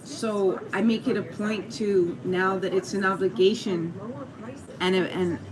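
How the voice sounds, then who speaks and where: A woman's voice: mid-range voice, fairly even intonation, unhurried, a crowd in the background. A woman with a Mexican American accent, chatting in a meandering way on a casual livestream, with lots of fillers and restarts and greetings to viewers. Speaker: YouTube live streamer. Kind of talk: casual rambling livestream chat. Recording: noisy livestream audio.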